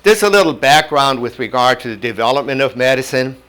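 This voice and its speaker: A man's voice: mid-range voice, lively intonation, a bit bright. Older man giving a plain-spoken lecture. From North Dakota, USA. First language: German. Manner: measured, plain-spoken teaching with homely examples. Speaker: lecturer on medical ethics. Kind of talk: whiteboard lecture. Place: indoor classroom in front of a whiteboard.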